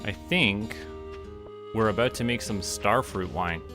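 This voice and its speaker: A man with a fairly low voice talks to himself as he streams a game. His delivery is casual and musing, as if sorting out each step aloud. Music plays clearly behind him. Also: measured pace. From Canada. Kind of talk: casual gaming livestream chat, thinking aloud. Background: clear background music.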